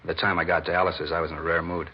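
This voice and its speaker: Deep, husky, breezy American suspect. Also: male voice, flat delivery, racing. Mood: griping. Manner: breezy, casual, griping, clipped phrases. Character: cocky, grumbling man-about-town suspect, casual golfer. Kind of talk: 1950s radio drama acting. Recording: muffled vintage radio recording.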